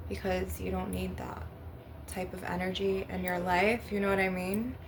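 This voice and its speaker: A woman with heavy vocal fry hosts a rambling, casual ASMR monologue. Her intonation stays fairly even as she keeps checking in with the listener. The recording is noticeably noisy, with clicks and taps on crystal and glass. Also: medium pitch. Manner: casual, repetitive tag questions, frequent swearing. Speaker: host of an ASMR channel. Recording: noisy, with clicking and tapping sounds. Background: crystal and glass tapping.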